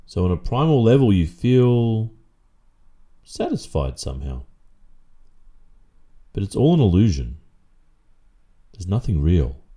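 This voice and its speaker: An Australian man performing an ASMR roleplay as a stern, controlling boyfriend, speaking in a deliberate, measured way. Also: rumbling low, mellow. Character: stern, controlling, possessive boyfriend lecturing his partner.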